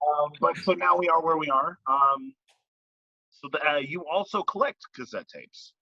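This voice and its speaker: A man's voice: a little high, normal speed, dry recording. A man hosting a casual interview in a conversational style, heard on a somewhat lo-fi recording. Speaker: interviewer, host. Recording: dull lo-fi, dry close sound.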